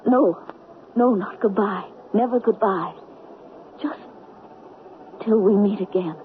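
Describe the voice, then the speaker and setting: A woman's voice: medium pitch, takes it slowly, lightly acted. An actress playing a devoted, mysterious young woman in love, intimate and insistent, in an old-time radio drama. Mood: anxious, devoted. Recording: muffled, noisy vintage radio broadcast.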